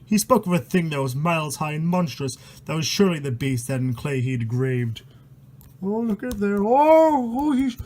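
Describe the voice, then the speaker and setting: High pitched guy doing dramatic story time, reads aloud w casual crude asides, fake horrified. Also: darkish tone. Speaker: storyteller reading a book aloud. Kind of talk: storybook reading with casual ad-lib commentary.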